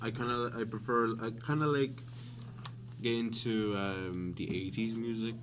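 A man's voice: fairly low pitch, steady tone, measured pace, fairly bright tone, faint music behind. A man in a casual chat, speaking hesitantly with many fillers.

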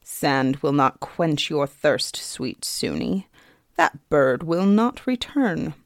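A woman voicing a young male fairy king who is cold, mocking and menacing, speaking slowly and softly. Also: deep voice, lively intonation, no room sound, studio quality, exaggerated voice. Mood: taunting. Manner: slow, soft, taunting.

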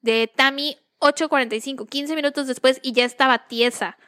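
A young Mexican woman recounting a true-crime case in a relaxed, slangy podcast chat. Her voice sits a little high and sounds crisp and bright. She laughs easily between sentences. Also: fairly quick. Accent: Mexican Spanish. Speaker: podcast co-host. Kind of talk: casual true-crime storytelling.

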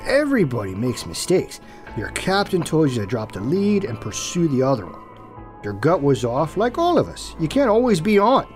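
A man's voice: on the high side, wildly swooping pitch, moderate pace. A man playing a nervous, placating small-town police colleague in an audio drama, speaking in a hesitant stammer. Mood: earnest, soothing. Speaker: voice actor. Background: music.

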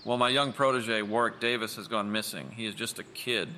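Man as a movie director, earnest, formal, hesitant, crisp bright tone, faint noise, audio drama. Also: on the low side, steady tone, brisk. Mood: worried. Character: earnest, worried male film director.

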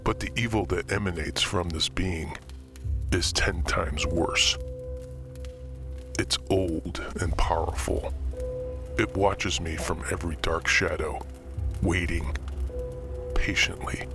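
Man playing a deep-voiced, brooding occult collector. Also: fairly even intonation, over music. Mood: grave, haunted. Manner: theatrical, measured, dramatic pauses. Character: brooding, theatrical male occult collector haunted by evil. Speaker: narrator and main character of horror fiction podcast. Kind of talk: scripted first-person horror storytelling.

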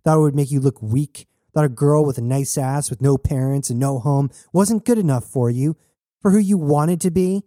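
A man's voice: medium pitch, big pitch swings, normal speed, warm tone, no room sound, clean studio sound. A man voicing a fiery, foul-mouthed young woman, blunt and mocking, in an audiobook. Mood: scornful, furious.

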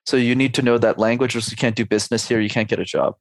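A man's voice: mid-range voice, steady tone, racing, no room sound. A man in his twenties or thirties, a speech coach, talking energetically in a podcast interview and laying out advice in numbered steps. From Canada.

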